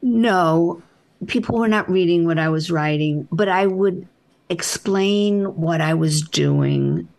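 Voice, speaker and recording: A woman author with a soft voice, answering interview questions in an unhurried, reflective way with halting restarts. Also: on the low side, very animated.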